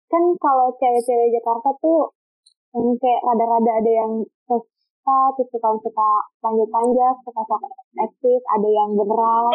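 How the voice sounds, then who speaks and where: A teenage girl with a high voice asks curious, gossipy questions. She comes through a tinny, phone-like line with faint music underneath. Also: no room sound. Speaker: co-host. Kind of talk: casual chat.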